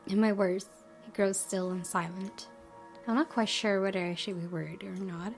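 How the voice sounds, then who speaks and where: A woman with a mid-pitched voice does let's play commentary and reads story text aloud. Game music is clearly audible behind her. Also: fairly even intonation, moderate pace. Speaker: gaming video host.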